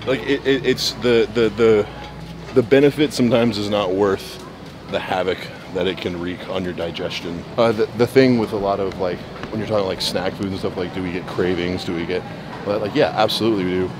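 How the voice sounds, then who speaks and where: A man's voice: on the low side, fairly even intonation, fairly quick, noisy background. A young man chatting casually while shopping in a big grocery store, rambling and repeating words as he explains his eating habits. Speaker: bodybuilder showing his grocery run. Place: large indoor grocery store.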